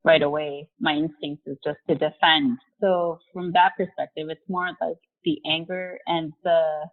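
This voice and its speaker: A woman in her forties, raised in Mexico, speaks candidly about her life in a relaxed interview, with easy fillers along the way. Her voice sits a little low. It comes through a narrow, phone-like video-call line. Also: unhurried. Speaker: guest, company vice president.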